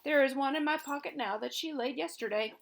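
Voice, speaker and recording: Woman doing a gentle, kindly scarecrow. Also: high-pitched, brisk, creaky, a little hiss or hum, pitched above the performer's own voice. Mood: mild.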